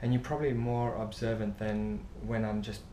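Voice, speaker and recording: A middle-aged man from South Africa, an author and travel writer, telling stories in a relaxed interview with lots of fillers. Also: lowish voice, moderate pace. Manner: rambling, warm storytelling with laughs and many fillers. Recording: echoey room with faint noise.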